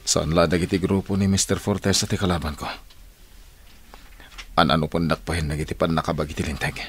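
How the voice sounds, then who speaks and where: An actor with an Ilocano accent playing a resolute, watchful investigator thinking aloud in a radio drama, brooding and deliberate. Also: male voice, low voice, flat delivery, fairly bright tone, slight background noise. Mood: brooding, determined. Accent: Northern Philippine (Ilocano). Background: faint music.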